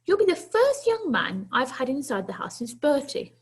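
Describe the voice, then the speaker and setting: A woman reading a children's storybook, voicing a small old lady who is brisk, hospitable and gently scolding, with a chatty, questioning manner. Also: high-pitched, big pitch swings, voice pushed up. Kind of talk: children's storybook read aloud.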